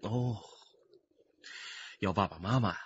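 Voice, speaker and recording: An actor playing a sinister kidnapper in a radio drama, coaxing with mock tenderness and threatening. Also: male voice, lowish voice, takes it slowly, dull audio, a little hiss or hum. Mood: mock-tender coaxing. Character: sinister male kidnapper, sing-song coaxing turning menacing.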